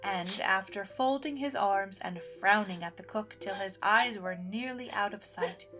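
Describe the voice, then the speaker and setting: A woman's voice: medium pitch, a little slow, low-quality recording. Woman narrating a storybook over music. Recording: music under the voice.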